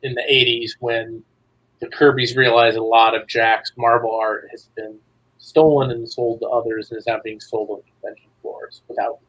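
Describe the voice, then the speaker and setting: A male writer talks as a guest in a relaxed, conversational interview. He is wry and given to joking, in a mid-pitched voice. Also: normal speed, poor audio. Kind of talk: casual interview chat.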